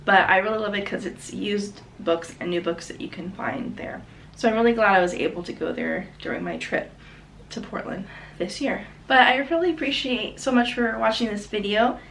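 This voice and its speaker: A woman's voice: medium pitch, moderate pace, vocal fry, fairly bright tone. A woman recovering from a cold, chatting enthusiastically as a book vlogger about her recent book haul. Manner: chatty, enthusiastic, self-correcting. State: getting over a cold. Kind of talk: casual book haul chat. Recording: slightly distant mic, faint background noise.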